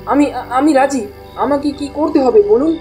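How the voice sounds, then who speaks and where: A woman's voice: high-pitched, unhurried, warm tone, faint music behind. A woman playing an eager, money-hungry young village man in a cartoon story, informal and coaxing.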